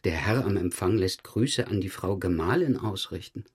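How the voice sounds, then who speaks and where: Dogged police detective, low husky voice, dry, pointed, matter-of-fact, crime radio play interrogation. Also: male voice, expressive, moderate pace. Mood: controlled, pointed. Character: dogged male police detective questioning a murder suspect. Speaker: police investigator. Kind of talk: German crime audio drama, interrogation dialogue.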